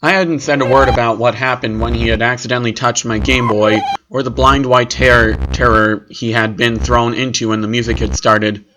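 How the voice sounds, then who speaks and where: A man narrates a scripted horror story in the first person at a medium pitch. The recording has clear background noise and clicks. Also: fairly even intonation, moderate pace, hardly any pauses.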